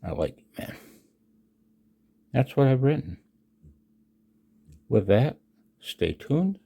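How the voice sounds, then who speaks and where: An American man in his sixties reading his own announcement aloud, emphatically and with dramatic pauses, as a radio hobby vlogger. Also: medium pitch, very animated, creaky, dark tone, breathy edge. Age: 60s.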